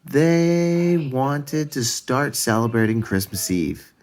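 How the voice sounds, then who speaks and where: Slow, animated male storyteller. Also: mid-range voice. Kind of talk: children's storybook read-aloud.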